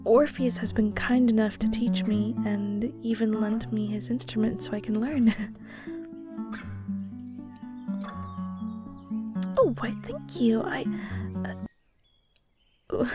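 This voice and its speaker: A woman playing a shy, kind ghost in an intimate audio roleplay, speaking softly and hesitantly with stammers and nervous little laughs. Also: a little high, steady tone, slow pace. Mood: shy, flattered. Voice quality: soft, breathy. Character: shy, kind female ghost shade, awkward and caring. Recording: soft guitar music behind. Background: gentle guitar music.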